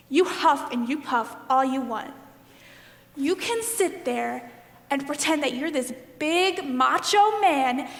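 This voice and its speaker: A woman on stage playing a wounded, defiant juror who survived bullying, speaking with pleading emphasis in a play monologue. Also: high voice. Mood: accusing, defiant. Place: live stage performance in a hall. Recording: echoey hall, long reverb.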